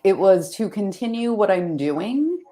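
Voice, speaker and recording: A woman co-hosting a casual podcast chat, relaxed and offhand, her throat a little scratchy. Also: medium pitch, unhurried. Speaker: podcast co-host.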